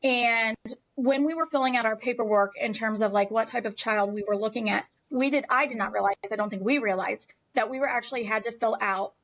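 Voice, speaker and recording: A woman's voice: a little high, brisk, fairly bright tone, rough recording. An American woman telling a personal story as an interview guest in a candid, emphatic, conversational way.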